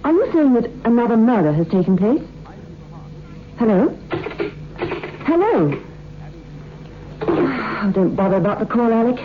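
An actress playing a brisk, determined woman newspaper reporter in an old-time radio thriller. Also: a little high, very animated. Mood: urgent. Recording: muffled, noisy 1960s radio recording.

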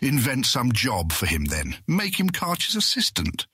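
Bright, breezy, husky guildmaster. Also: male voice, mid-range voice, huge pitch swings, unhurried, dry recording, clean studio sound, exaggerated voice. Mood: cheerfully suggesting. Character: genial, naive-seeming guildmaster, brightly entitled and resolute. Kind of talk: fantasy audio drama acting.

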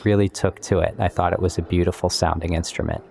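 A man's voice: low voice, flat delivery, fast talker, vocal fry. A man speaking as a composer in an interview, reflecting on his work in a thoughtful, explanatory way.

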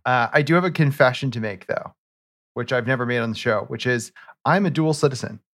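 A man's voice: medium pitch, fairly quick, fairly bright tone. A man from Canada hosting a business podcast. He talks in an enthusiastic, conversational way. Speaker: podcast host. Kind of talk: podcast interview.

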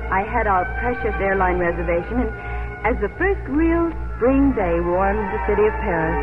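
A woman's voice: medium pitch, lightly acted. An actress playing an emotional, tender adoptive mother in a 1950s radio drama, earnest and soothing. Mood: grave, reflective. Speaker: lead actress and narrator. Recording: old radio broadcast, narrow band, music behind.

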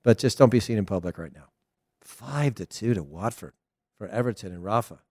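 A middle-aged man with a Southern American accent, hosting a casual soccer talk show, chatty and animated with frequent laughs. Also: on the low side, a little fast, no echo, clean studio sound. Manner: chatty, animated, laughs often, loose restarts. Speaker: soccer podcast host. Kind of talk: casual solo commentary.

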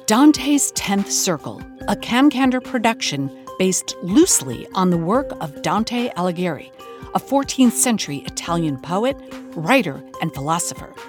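A woman's voice: medium pitch, sing-song, a little slow. A woman reading scripted podcast narration with dry humor. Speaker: show narrator. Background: music.

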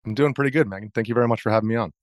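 A man's voice: on the low side, racing, no room sound, clean studio sound. A man with an American accent chatting casually as an interview guest. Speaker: interview guest, video journalist.

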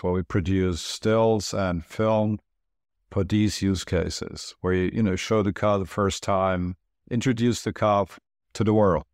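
Male guest from Turkey, low voice, dry close mic, thoughtful explainer. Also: no echo. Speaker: guest, studio founder. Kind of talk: interview answers.

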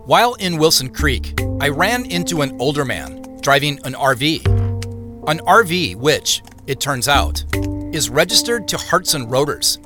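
An American actor playing a reflective amateur investigator, narrating an audio drama in a measured, conversational way. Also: male voice, fairly high pitch, expressive, music in the background. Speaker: narrator and lead character.

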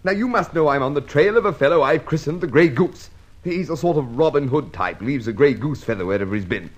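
Blustering, excitable police inspector. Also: male voice, on the high side, brisk. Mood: exasperated. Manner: blustering, stammering, repeats himself. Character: flustered, irascible British police inspector, easily exasperated. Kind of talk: mid-century radio drama acting.

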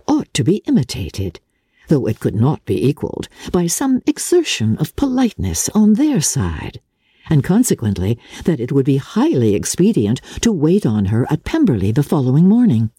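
Husky, low-voiced woman reading with expressive swoops. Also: no echo. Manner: measured, expressive, formal storytelling delivery. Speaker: audiobook narrator. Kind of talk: dramatic reading of classic literature.

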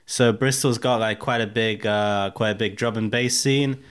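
A man's voice: on the low side, fairly even intonation, moderate pace, clear, resonant tone. A man in his thirties from Russia with a mixed Russian, American and English accent, chatting candidly and profanely as an interview guest, full of fillers. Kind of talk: casual interview chat.

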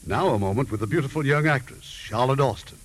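A man's voice: medium pitch, fast. A man hosting a scripted interview on a 1950s radio show. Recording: hissy old 1950s radio broadcast.

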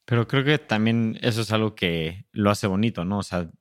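A man hosts a podcast conversation in a fairly low voice. He asks relaxed, thoughtful questions padded with easy filler phrases. The recording is close and dry. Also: normal speed, no room sound. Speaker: podcast host. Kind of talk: casual interview.

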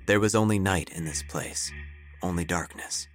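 An actor playing a melodramatic, self-important obituary writer who narrates an audio drama with theatrical pauses. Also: male voice, low-pitched, faint music behind, lightly acted. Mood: brooding, ominous. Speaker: narrator and protagonist. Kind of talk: first-person audio drama narration, gothic parody.

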